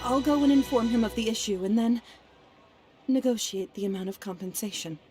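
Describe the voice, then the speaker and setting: Woman voicing a high-voiced, earnest young gentlewoman. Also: fairly quick, natural voice. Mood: composed, earnest. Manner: polite, formal, earnest. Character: earnest, refined young woman from a wealthy family. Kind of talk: video game voice acting. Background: game music.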